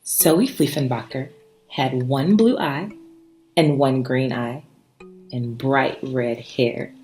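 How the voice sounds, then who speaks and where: A woman reading a children's story aloud in an expressive, emphatic way, pausing for drama between short phrases. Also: a bass voice, poor audio. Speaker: storybook reader. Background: background music.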